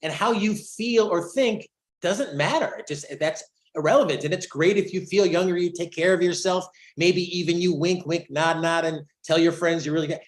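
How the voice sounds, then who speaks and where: A man on a casual panel discussion, talking deliberately and slowing down to stress key phrases. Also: sits high. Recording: roomy, echoey sound.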